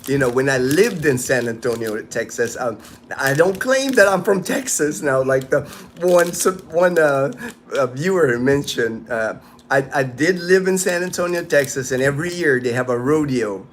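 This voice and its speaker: A man's voice: medium pitch, animated, moderate pace, a little hiss or hum. Easygoing man in his fifties, livestream host. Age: 50s. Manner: rambling, easygoing, many restarts. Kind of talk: casual livestream chat reading viewer comments. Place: outdoors in the sun.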